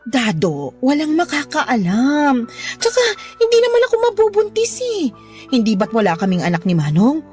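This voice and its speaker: Lonely, seductive widow, swooping voice. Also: female voice, high-pitched, huge pitch swings, moderate pace, a little mellow. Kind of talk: Tagalog radio drama acting. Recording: background music under the voice.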